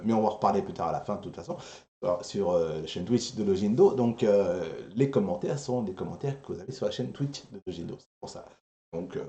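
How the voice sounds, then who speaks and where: A man hosting a casual online geek talk show, speaking in an informal, joking way with loose, halting phrasing. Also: on the low side, dark tone. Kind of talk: casual live-stream chat. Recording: roomy, echoing sound.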